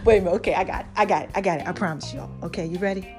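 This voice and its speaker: A woman with a Brooklyn accent, slightly raspy lately, hosting her own podcast in a playful, preachy tone. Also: fairly low pitch, rapid-fire, music in the background. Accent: Brooklyn, New York. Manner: preachy, playful, calls listeners y'all and baby. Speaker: podcast host. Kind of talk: casual faith talk to listeners.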